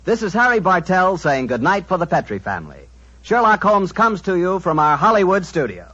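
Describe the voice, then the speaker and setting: A man with an American accent doing scripted 1940s radio announcing and sponsor pitches. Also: sing-song, a little fast, bright, forceful tone. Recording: muffled vintage 1940s radio recording.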